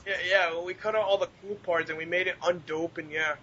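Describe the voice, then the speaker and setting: A man's voice: high-pitched, steady tone, fast talker, bright, forceful tone. A man co-hosting a podcast in casual, crude, profane chat with friends. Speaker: podcast co-host. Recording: muffled lo-fi audio, background noise.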